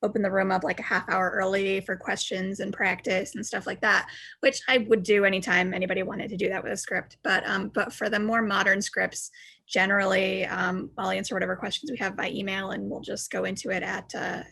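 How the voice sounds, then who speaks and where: Bright, creaky-voiced woman. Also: mid-range voice, flat delivery, brisk. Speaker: director being interviewed. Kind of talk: casual interview talk.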